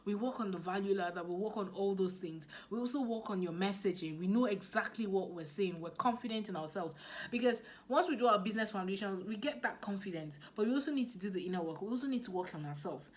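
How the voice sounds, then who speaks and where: A woman's voice: medium pitch, fast talker. Woman, Nigerian accent, business coach, motivational, emphatic, repetitive. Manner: animated and emphatic, repeating key phrases. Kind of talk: motivational talk to camera.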